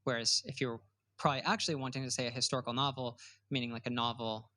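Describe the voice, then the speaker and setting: A man's voice: mid-range voice, level tone, fast, bright, forceful tone. Upbeat man hosting a podcast. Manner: upbeat, enthusiastic. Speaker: podcast host. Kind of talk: podcast hosting and promo read.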